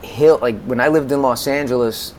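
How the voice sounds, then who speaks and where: An American man in his forties speaking conversationally as an interview guest, in a halting way that often repeats words. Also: mid-range voice, a little mellow, a little hiss or hum, poor audio. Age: 40s. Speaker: podcast guest, music consultant.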